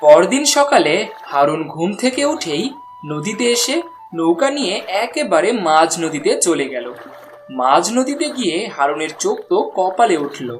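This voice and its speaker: A woman's voice: on the low side, very animated, normal speed. Female narrator for a cartoon folk tale: scripted storytelling, music bed underneath.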